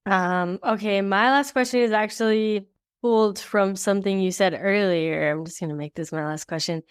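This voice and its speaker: A woman's voice: medium pitch, moderate pace, smooth, dry recording, studio quality. A woman co-hosting a podcast, asking questions in a light conversational way. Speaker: podcast co-host. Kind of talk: casual interview chat.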